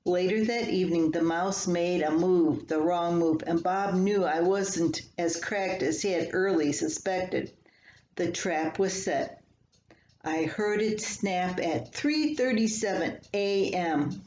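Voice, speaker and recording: An older woman reading her own humorous personal column aloud in a folksy, unhurried storytelling manner. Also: on the low side, darkish tone. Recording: echoey room, slightly dull, faint hiss.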